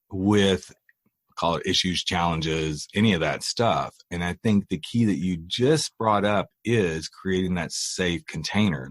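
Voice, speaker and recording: A man's voice: deep voice, no room sound, clean studio sound. A man hosting a podcast interview, asking long conversational questions. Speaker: podcast host.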